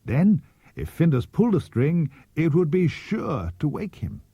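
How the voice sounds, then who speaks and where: A man's voice: fairly high pitch, sing-song, measured pace, warm tone, slightly rough, no room sound. A man reading scripted storybook narration as an audiobook narrator. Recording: dull cassette transfer with music.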